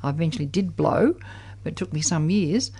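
A woman's voice: sits low, lively intonation, a little fast, mellow, slightly rough. A woman of seventy sharing her personal story in conversation, reflective and candid. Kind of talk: personal recollection in group conversation.

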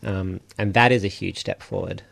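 A low-pitched man with a gravelly, creaky edge, thinking things through earnestly as a radio guest. The sound is dry and close, with no room around it. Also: fairly even intonation, normal speed, a bit bright, no echo. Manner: reflective, earnest. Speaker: writer guest. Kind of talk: radio interview.

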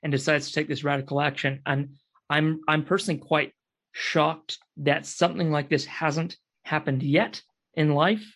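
A man speaking earnestly as a guest in a panel discussion, pausing to search for words. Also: on the high side, steady tone, a bit bright. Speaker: podcast guest.

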